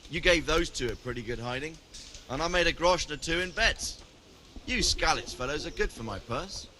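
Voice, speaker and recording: An actor playing a cocky, blustery brawler who runs paid fights in a video game. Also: male voice, fairly high pitch, expressive, normal speed, bright, forceful tone, slight background noise. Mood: pleased, approving. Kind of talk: video game dialogue acting.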